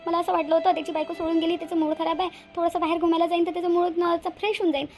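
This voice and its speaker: A woman voices a clingy young schemer in a homemade cartoon. Her speech is high and fast, sweetly coaxing, with phrases said over again. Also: little pitch movement, darkish tone, soft background music. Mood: meek, pleading. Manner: coaxing, pleading, repetitive.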